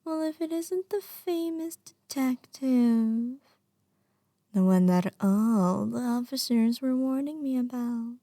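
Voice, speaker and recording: A woman's voice: on the high side, takes it slowly, dark tone. A woman playing a lovestruck, obsessive young yandere girl under arrest in an ASMR roleplay, coy and pouty with hesitant, half-whispered moments.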